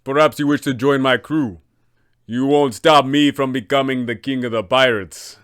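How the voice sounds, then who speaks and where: A man's voice: medium pitch, steady tone, moderate pace, fairly bright tone, clear, resonant tone, no room sound, studio quality, natural voice. A man voicing a brutish, imposing pirate captain for a tabletop game, with a commanding, threatening manner. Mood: menacing boast.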